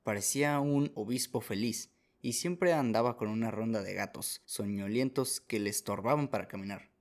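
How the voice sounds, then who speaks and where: A man's voice: on the low side, moderate pace. A man reading scripted first-person story narration as the narrator of a radio drama.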